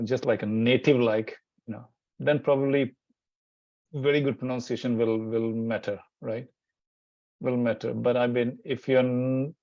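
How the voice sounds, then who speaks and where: A man's voice: medium pitch, mellow, dry recording, highs cut off. A man speaking as an interview guest, rambling with many restarts and fillers. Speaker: Hindi teacher, interview guest. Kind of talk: casual interview chat.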